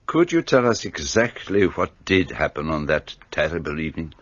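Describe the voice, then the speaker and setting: A man's voice: rumbling low, very animated, normal speed, a bit bright, no echo, highs cut off, exaggerated voice. An actor playing a fussy, precise older male detective, formal and self-assured in a radio play. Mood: courteous.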